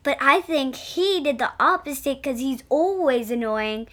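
A woman's voice: high voice, animated, a little slow, fairly bright tone. A female co-host chatting casually, chiming in with short, literal-minded interjections and corrections. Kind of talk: casual chat.

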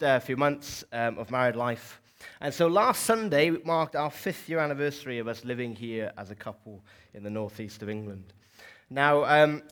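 A man's voice: mid-range voice, fairly bright tone. A young man with a South Welsh accent preaching a sermon in a church, chatty, with asides to the congregation. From South Wales.

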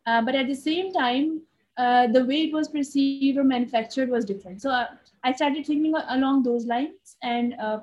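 Woman from pakistan rambling about her art on a call, kinda high voice, lots of uh and like. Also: moderate pace, a little mellow, lo-fi sound. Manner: rambling with many fillers and restarts. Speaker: guest artist. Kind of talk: casual interview talk.

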